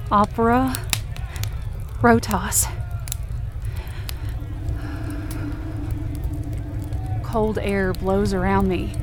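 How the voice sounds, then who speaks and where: A woman's voice: mid-range voice, lightly acted. A woman acting as a stubborn, desperate young rescuer, narrating a supernatural audio drama in an intense, emotive way. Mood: focused, strained. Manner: intense, emotive, swearing under strain. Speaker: narrator and lead actor of a fiction podcast. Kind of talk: dramatic first-person present-tense audio drama narration.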